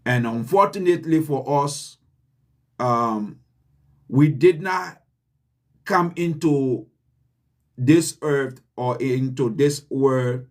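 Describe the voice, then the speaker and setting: Slow, earnest Liberian man. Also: mid-range voice. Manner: earnest and deliberate, with repeated emphasis. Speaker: channel host. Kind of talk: motivational address.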